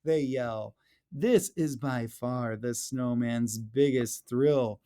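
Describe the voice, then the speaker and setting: A man reading a picture book aloud to young children in an animated, playful way, with exaggerated questions and exclamations addressed straight to them. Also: mid-range voice, slow pace. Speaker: elementary school educator reading aloud.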